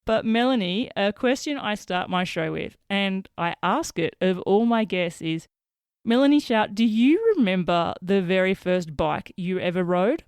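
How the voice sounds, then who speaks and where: Woman podcast host, smooth clear voice, dry close sound, chatty rambling questions. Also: medium pitch, expressive, measured pace, darkish tone, dry recording. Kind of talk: casual interview chat.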